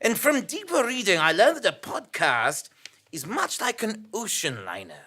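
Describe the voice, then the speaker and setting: Woman doing confused amnesiac reporter guy, comic audio drama, super animated, theatrical, bright voice. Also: medium pitch, normal speed, breathy edge, heavily acted. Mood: mock-solemn. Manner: theatrical, mock-serious, excitable. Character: bewildered amnesiac male investigative journalist narrating his adventure. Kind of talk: comic audio drama, scripted narration and dialogue.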